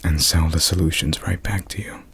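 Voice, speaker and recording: A young man whispering ASMR rambles and improvised stories in a hushed, playful way with drawn-out phrasing. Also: low voice, fairly even intonation, vocal fry, slight background noise. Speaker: ASMR video creator.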